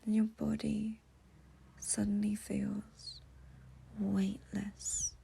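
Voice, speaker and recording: A woman leading a guided meditation in a soft, breathy near-whisper, with a soothing, gentle, intimate manner. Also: mid-range voice, monotone, extremely slow, slight background noise.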